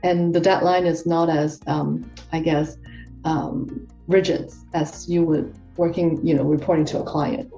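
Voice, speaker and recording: A woman talks through her answers in a relaxed, chatty way, full of fillers and self-corrections. Her voice sits a little low and sounds mellow. She is heard on a dull, muffled recording from some distance off the mic, with faint music behind her. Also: a little slow, vocal fry. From Hong Kong. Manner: chatty, with many fillers and restarts. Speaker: guest tax professional. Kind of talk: casual interview answers.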